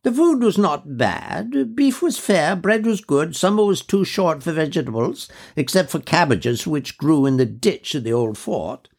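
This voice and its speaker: A man voicing an elderly officer's widow, chatty and cheerful, in a high fluttery voice, for a spoken story. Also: sing-song, measured pace, creaky, hardly any pauses, darkish tone, breathy edge, heavily acted. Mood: reminiscing.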